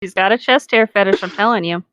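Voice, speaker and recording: A woman's voice: mid-range voice, fast, fairly bright tone, no echo, rough recording. A woman co-hosting a comedic reading, narrating a story aloud with casual, sardonic asides. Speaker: co-host of a comedic fan-fiction reading.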